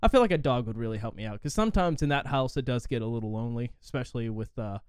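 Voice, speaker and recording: Young Indiana man, loose chatty podcast talk, smooth clean dry sound. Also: mid-range voice, expressive, very fast, no room sound. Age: 20s. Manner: rambling, profane, frequent fillers. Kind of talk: casual chat.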